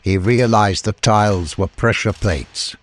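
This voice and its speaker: Low-voiced man narrating an adventure story. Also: a little slow, slight background noise. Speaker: audiobook narrator. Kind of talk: dramatic scripted story narration.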